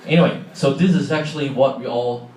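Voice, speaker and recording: A man's voice: medium pitch, a little fast, a little mellow, slight background noise. Man with a Hong Kong accent, echoey hall. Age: middle-aged. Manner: chatty, asks the audience questions. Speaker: speaker. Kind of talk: public talk to an audience. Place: large hall. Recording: echoey hall from far off, faint ambience.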